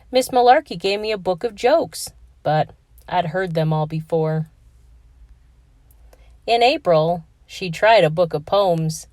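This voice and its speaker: A woman reading a children's picture book aloud with expressive storytelling. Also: lowish voice, dry recording, clean studio sound.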